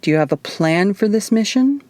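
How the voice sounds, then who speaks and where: A woman's voice: mid-range voice, lively intonation, moderate pace, warm tone, no echo, pitched above the performer's own voice, natural voice. A woman voicing a stern, frustrated man in charge, terse and commanding, in an audiobook. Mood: skeptical.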